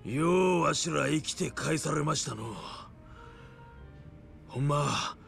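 A man's voice: fairly high pitch, slow pace, creaky, a bit bright, faint music behind, heavily acted. A man playing a blunt, anxious yakuza with a Hiroshima accent in a video game drama. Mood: baffled relief.

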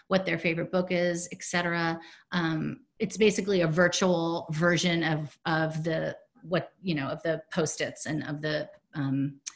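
Woman, forties, hoarse, chatty, filler-heavy, lowish voice, laptop call audio. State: hoarse, losing her voice. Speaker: program director presenting a webinar. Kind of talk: casual webinar presentation. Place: home room, indoors. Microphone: video call, laptop mic.

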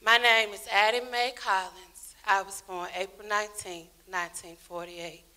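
A woman playing a young girl victim, speaking earnestly and slowly into a handheld mic on a church stage. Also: mid-range voice, steady tone, sharp tone, lightly acted, rough recording. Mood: solemn. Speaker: actor in a church skit.

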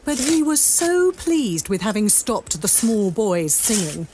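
A woman's voice: medium pitch, sing-song, slow pace, a little mellow, slight background noise. A woman narrating an audio drama as a scripted, dramatic storyteller.

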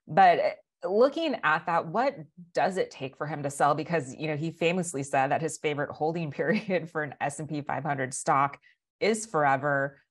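A woman in her thirties with an American accent and a notably low voice. She hosts a business news interview, putting questions in a composed, professional and articulate style. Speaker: interviewer, host.

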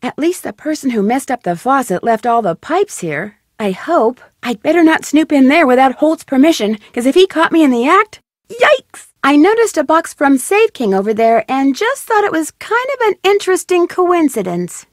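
A curious, upbeat young woman detective from a mystery game. She muses aloud in a chirpy, inquisitive way, her high voice bouncing around a lot. The sound is dry and close. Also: sing-song, moderate pace, no room sound. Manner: chirpy, inquisitive self-talk. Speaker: game protagonist. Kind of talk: game voice lines.

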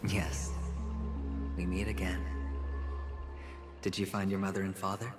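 A man voicing a suave, guarded young gambler in an anime game, smooth and playful. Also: deep voice, flat delivery, measured pace. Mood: gentle. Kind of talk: video game voice acting. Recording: game music behind.

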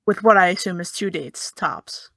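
A woman's voice: fairly low pitch, moderate pace, creaky, a bit bright, no echo, clean studio sound. A young actress playing a sarcastic young woman who teases and needles in an audio drama. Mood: mocking. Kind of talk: audio drama dialogue of a video call.

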